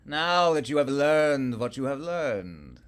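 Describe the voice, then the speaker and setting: An actor playing an ancient, coldly menacing vampire count with measured, commanding theatrical radio delivery. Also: male voice, mid-range voice, very animated, smooth. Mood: cold menace. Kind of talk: theatrical radio play acting.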